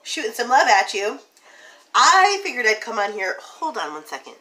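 A woman's voice: on the high side, big pitch swings, moderate pace, sharp tone, recorded from a distance. A woman in her thirties chatting informally and reassuringly to her phone in a casual home vlog. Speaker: vlogger. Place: home kitchen, indoors. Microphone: phone at arm's length. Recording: noisy home phone recording.

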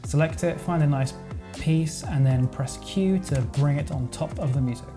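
A man narrating a software editing tutorial in a relaxed, chatty way, thinking aloud as he goes. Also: fairly high pitch, moderate pace. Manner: casual, explanatory, thinks aloud with verbal sound effects. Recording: voice-over with music playing underneath.

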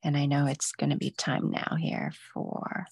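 A woman's voice: low voice, little pitch movement, measured pace, gravelly, a little mellow, slightly rough, dry recording, clean studio sound. A woman patiently walking viewers step by step through knitting stitches in a live online demo. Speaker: knitting instructor.

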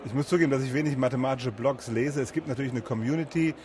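A man's voice: mid-range voice, fast, darkish tone, slight background noise. A man giving informal, spontaneous answers as an interview guest. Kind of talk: casual spoken interview answers. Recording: busy crowd at an event.

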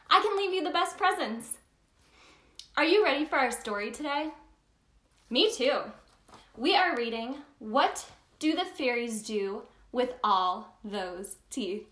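Woman playing a high, bubbly Tooth Fairy. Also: sharp tone, echoey room, exaggerated voice. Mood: bubbly, chatty. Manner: exaggerated, sing-song, talks directly to the child listener. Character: cheerful, bubbly Tooth Fairy telling stories to children. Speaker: children's story time host. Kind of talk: animated read-aloud for young children.

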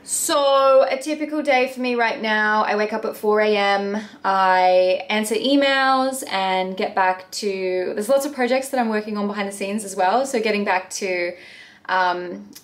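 A woman in her thirties, a bakery owner, chatting earnestly to camera from her bedroom in a casual question-and-answer video. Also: mid-range voice. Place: bedroom at home.

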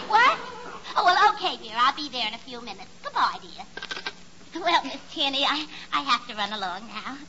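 An actress playing a dim, vain, man-hungry young tavern woman in a 1940s radio comedy, brash and gabby. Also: sits high. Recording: noisy, muffled 1940s radio recording.

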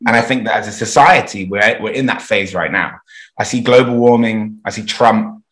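Male guest, twenties, fairly deep voice, casual and earnest, upbeat conversational delivery. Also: moderate pace, fairly bright tone. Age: 20s. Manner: upbeat, earnest, often checks with "you know". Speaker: interview guest, entrepreneur. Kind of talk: casual interview chat. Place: indoors at home, video call.

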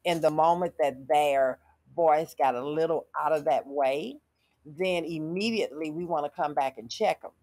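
A woman's voice: low-pitched, normal speed, a little mellow. A middle-aged woman hosting a casual panel talk in a radio studio, speaking emphatically with preacherly asides. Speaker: talk show host.

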